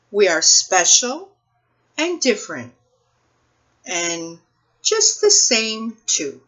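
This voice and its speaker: A woman speaking as a children's librarian at a read-aloud storytime, expressive and addressing young children and families. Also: on the high side, highs cut off. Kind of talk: picture book read-aloud and talk to families.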